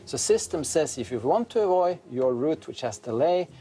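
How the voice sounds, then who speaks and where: A man's voice: a little high, expressive, normal speed, mellow, breathy edge. A man in his fifties explaining how his work is done, as an operations manager being interviewed. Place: large open control room indoors.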